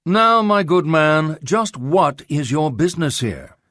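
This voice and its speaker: A man's voice: a little high, animated, slow, fairly bright tone, no room sound, clean studio sound, pitched above the performer's own voice. A man voicing a haughty, prim brigadier general with a formal, pompous manner, in pulp radio-drama style.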